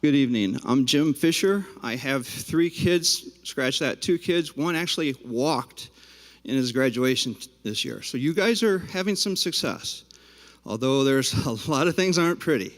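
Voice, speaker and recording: A man's voice: mid-range voice, animated, normal speed. A man speaking as a parent during public comment at a school board meeting, confrontational and addressing board members directly. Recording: reverberant hall.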